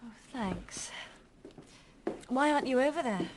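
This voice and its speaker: Blunt, high-voiced young student. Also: female voice, animated, a little slow, background noise. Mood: challenging. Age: young adult. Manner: direct, challenging. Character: clever young female medical student, blunt and defensive. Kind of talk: radio drama acting.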